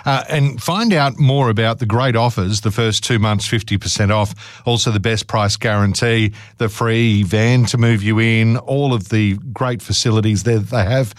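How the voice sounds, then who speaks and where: A man's voice: lowish voice, fairly even intonation, dry recording, clean studio sound. An Australian man hosting a radio show, interviewing a guest and reading an ad casually. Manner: brisk, encouraging, reads ads with fillers. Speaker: radio host. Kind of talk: radio interview and live-read ad.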